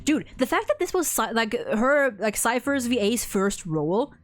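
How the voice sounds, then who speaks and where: A woman in a casual, excited fan discussion, effusive and slangy, rambling with lots of repeated "likes". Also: on the high side, moderate pace, soft background music. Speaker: video host discussing a game.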